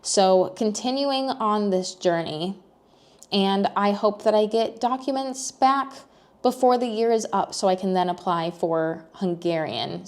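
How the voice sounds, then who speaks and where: A woman's voice: medium pitch, a little mellow. An American young woman talking casually to camera in a personal vlog, thinking aloud with many fillers and restarts. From United States. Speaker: vlogger.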